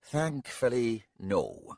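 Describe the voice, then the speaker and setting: A man voicing an old, bent castellan who speaks low, steady and patient. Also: drawn-out words, creaky, no echo, studio quality, heavily acted. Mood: dry.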